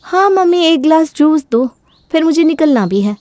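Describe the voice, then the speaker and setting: Narrator putting on a brash, high-voiced young daughter. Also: female voice, animated, normal speed, a little mellow, dry recording, studio quality. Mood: demanding. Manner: defiant, dismissive. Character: brash, selfish young woman talking back to her mother.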